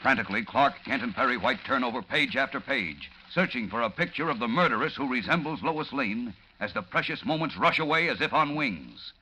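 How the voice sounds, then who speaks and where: A man's voice: medium pitch, expressive, a little fast, few pauses, pushed and bright. An actor narrating as an urgent, dramatic male announcer in declamatory 1940s radio serial style. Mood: urgent, suspenseful. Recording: dull, faintly noisy old radio recording.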